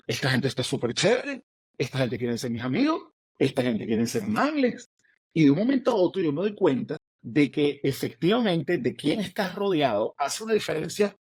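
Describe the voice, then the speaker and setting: Middle-aged Venezuelan man, animated guest, brisk and slightly raised in pitch. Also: breathy edge, no room sound, studio quality. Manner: animated, fast, acts out quoted dialogue. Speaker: guest, author. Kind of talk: storytelling interview answers.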